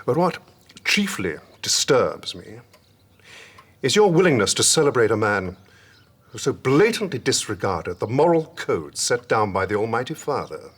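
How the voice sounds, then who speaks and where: A man's voice: mid-range voice, very animated, moderate pace, breathy edge. An actor playing a stern, indignant churchman who speaks in a grave, righteous and formal manner in a period drama. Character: stern, indignant churchman condemning a scandalous book.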